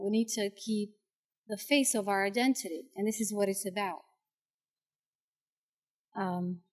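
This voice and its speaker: Earnest woman, Italian first language. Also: medium pitch. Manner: earnest, persuasive, with hesitations. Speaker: Italian teacher speaking at a school board meeting. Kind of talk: public comment speech.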